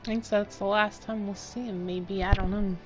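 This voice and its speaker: A woman's voice: medium pitch, steady tone, moderate pace, music in the background. A woman giving casual reaction commentary as she streams a video game.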